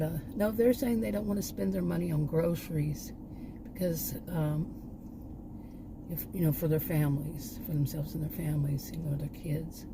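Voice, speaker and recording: Hoarse-edged woman rambling outdoors. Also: sits low, noisy background. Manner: meandering, trails off and restarts. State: losing her voice. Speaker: vlogger. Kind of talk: rambling casual chat.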